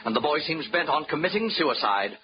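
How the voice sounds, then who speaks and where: A man's voice: a little high, very animated, fairly quick. A man playing a fussy, genteel, fretting insurance company manager in a 1940s radio drama. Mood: fretful. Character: fussy, fretful male insurance general manager. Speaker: general manager. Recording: dull old radio recording with noise.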